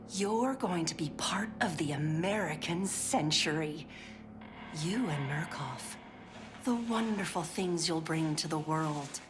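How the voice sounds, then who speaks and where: An actress playing a sugary, overly polite 1950s clinic worker who is strained and pill-addled, often slipping into whispers. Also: female voice, low-pitched. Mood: sugary encouraging. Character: chirpy 1950s woman clinic worker, fraying. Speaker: game character voice lines. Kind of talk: scripted game voice acting. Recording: steady background noise.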